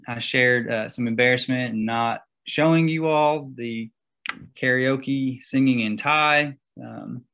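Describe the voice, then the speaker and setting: Slow, gravelly man giving a seminar talk. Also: mid-range voice, fairly bright tone, muffled recording. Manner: halting, many fillers. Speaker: guest speaker. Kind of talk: slide-show seminar talk.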